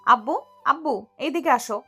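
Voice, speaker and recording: A woman playing a quick-tempered, bossy young medical student in an audio love story. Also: sits high, fairly bright tone, slightly rough, faint music behind. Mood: petulant complaining. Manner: scolding, bossy.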